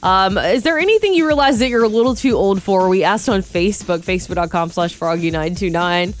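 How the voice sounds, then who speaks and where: A woman's voice: mid-range voice, fairly quick, soft background music. A woman in her thirties co-hosting a morning radio show, with a slightly scratchy voice, chatty and candid, laughing often. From Northern California. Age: 30s. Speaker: radio co-host. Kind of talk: casual morning radio banter.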